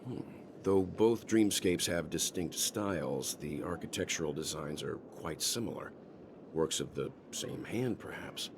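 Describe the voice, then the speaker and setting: An actor playing a calm, thoughtful, dignified middle-aged traveller in a video game, speaking in a measured, reflective way. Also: male voice, low-pitched, noisy background. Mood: calm, pensive. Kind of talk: scripted video game dialogue.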